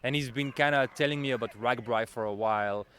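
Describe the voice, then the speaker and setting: A man from Belgium with a Belgian French accent, a TV correspondent chatting in an interview with quick bursts and self-corrections. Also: medium pitch, a bit bright, a little hiss or hum. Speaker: national TV correspondent. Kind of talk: casual interview answers. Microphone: handheld interviewer mic.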